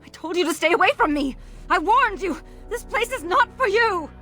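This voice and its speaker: A woman's voice: very high voice, expressive, moderate pace, bright, forceful tone, soft background music, full character voice. An actress voicing a fierce, defiant, vengeful female elf in a fantasy video game, with intense delivery. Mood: furious, defiant. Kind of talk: video game character acting.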